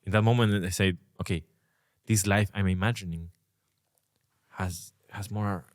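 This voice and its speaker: A man's voice: low voice, steady tone, a little slow, darkish tone, no room sound, clean studio sound. A man from Uruguay hosting a podcast, talking in a reflective, rambling way and swearing casually. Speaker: podcast host.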